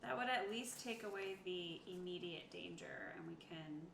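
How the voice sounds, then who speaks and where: Woman, tabletop player, matter-of-fact, helpful, casual chat, roomy echo, clear background noise. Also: fairly low pitch, lively intonation, moderate pace. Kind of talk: casual game chat, reading out rules. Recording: echoey room with noticeable background noise.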